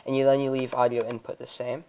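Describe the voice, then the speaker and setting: A young man giving a casual software tutorial in a hesitant, improvised way. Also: mid-range voice, monotone, quick pace, clean tone. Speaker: tutorial host. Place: indoors, voice-over on a computer screen recording.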